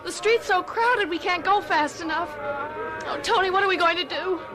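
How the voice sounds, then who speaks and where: An actress playing a worried, frightened young daughter in a radio mystery drama, with anxious pleading delivery. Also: female voice, very high voice, normal speed, loud music behind the voice, a loud crowd. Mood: frightened, uncertain.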